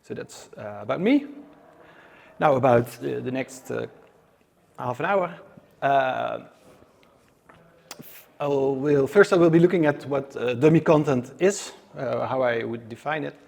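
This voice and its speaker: A man's voice: medium pitch, a little slow, gravelly, pauses often, darkish tone. A man from the Netherlands with a Dutch accent, giving a live conference talk to an audience in a hesitant way, with many filler sounds and restarts. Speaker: conference speaker. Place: conference room.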